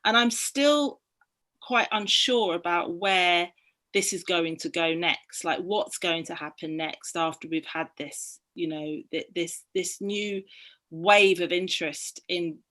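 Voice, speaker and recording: A woman's voice: fairly low pitch, slow, fairly bright tone. A middle-aged woman from Barbados, a guest in a conversational discussion, speaking earnestly and passionately while thinking aloud. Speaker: guest, book activist.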